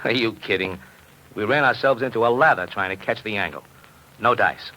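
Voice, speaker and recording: A man's voice: lowish voice, a little fast, bright, forceful tone. An actor playing a worried, slangy betting agent, a big man, in a 1950s radio drama. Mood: worried, frustrated. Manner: slangy, hard-boiled talk. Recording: noisy old radio recording.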